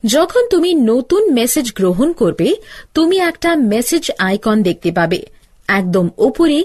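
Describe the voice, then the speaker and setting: A woman playing an older-sister teacher, giving step-by-step instructions in a scripted cartoon tutorial. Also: on the high side. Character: older-sister teacher explaining gadgets to a child.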